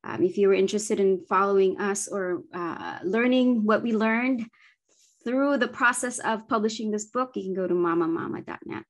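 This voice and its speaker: A woman's voice: mid-range voice, moderate pace. A woman in her forties from the Philippines, a Bisaya speaker, presenting her children's book press over a video call, earnest and thoughtful with frequent ums and self-corrections. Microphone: laptop webcam on video call.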